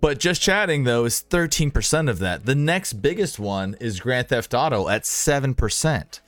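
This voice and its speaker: A man's voice: mid-range voice, lively intonation, moderate pace, a bit bright, no room sound. A man hosting a casual podcast chat about streaming, giving opinions and repeating himself for emphasis.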